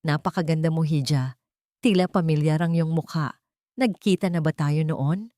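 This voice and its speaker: Storybook villainess-type rich woman, voiced by a female reader: poised, gentle authority, veiled menace, dry recording. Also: low-pitched, no room sound, close to an everyday voice. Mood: probing, polite. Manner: gentle but authoritative, measured.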